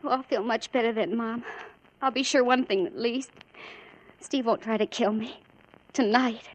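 A woman's voice: a little high, steady tone, moderate pace, heavy background noise, close to an everyday voice. An actress playing a frightened, distraught young woman in a melodramatic old radio crime drama. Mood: fearful.

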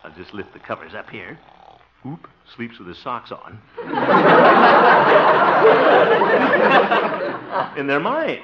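Seeking a jovial, blustery, self-satisfied fellow for vintage radio comedy; highish, very animated, theatrical delivery. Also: male voice, very slow, pauses often, exaggerated voice. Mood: exasperated, playful. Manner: chuckling, theatrical, exclaiming. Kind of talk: 1950s radio comedy acting. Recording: muffled, noisy vintage radio recording.

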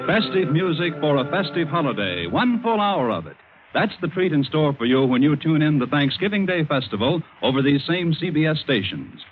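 A male American announcer in the formal manner of old network radio. His voice is fairly high and polished, heard on a dull recording with light background noise.

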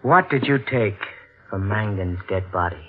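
An actor playing a suspicious, coolly controlled husband with clipped, probing speech in a 1940s radio drama. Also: male voice, lowish voice, lively intonation, unhurried, breathy edge, soft background music. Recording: narrow-band vintage radio recording.

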